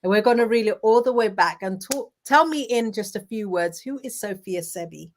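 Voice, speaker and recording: Host: mid-pitched woman interviewer, encouraging, affirming. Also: moderate pace. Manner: encouraging, frequent affirming murmurs. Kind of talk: interview hosting.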